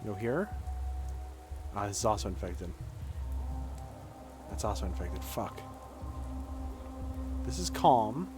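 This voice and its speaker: A man's voice: lowish voice, very animated, slow pace, long pauses, over music. A man casually commentating as he streams a video game, chatting in a playful, exclaiming manner. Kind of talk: casual game commentary with chat and reading aloud.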